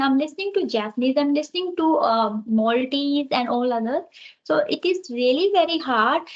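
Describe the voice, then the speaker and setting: Earnest Indian-accented woman tutor, slightly muffled. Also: a little high. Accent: Indian English. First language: Hindi. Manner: earnest, explains with everyday examples. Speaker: English tutor. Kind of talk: conversational English practice chat. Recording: muffled lo-fi call audio.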